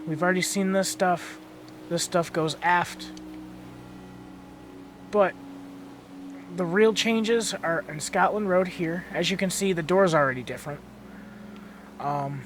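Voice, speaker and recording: A young man talks in a somewhat high, hoarse, gravelly voice, tired from just waking up. He narrates loosely from his bedroom, cursing freely and stopping to correct his own words. A faint noise sits behind him. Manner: casual and swears a lot. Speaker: channel host touring a build. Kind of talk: casual gameplay tour narration. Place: bedroom at home.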